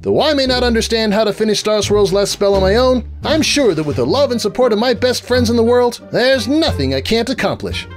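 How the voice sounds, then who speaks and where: Man putting on a high, chirpy undead pony sorceress. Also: lively intonation, brisk, few pauses, faint music behind. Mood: triumphant. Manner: eager, breezy, brushing off problems. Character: chirpy, stubbornly optimistic young female undead pony sorceress.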